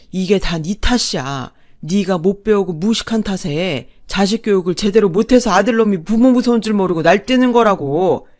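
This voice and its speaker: A woman's voice: mid-range voice, darkish tone, lightly acted. Woman acting blustering, domineering older patriarch. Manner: scolding, contemptuous. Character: domineering, abusive older husband and father, blustering. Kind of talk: dramatised family story narration.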